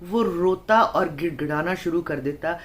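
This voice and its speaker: A woman with a fairly low voice narrates a horror story. Her delivery is dramatic and suspenseful, with deliberate phrasing and pauses between phrases.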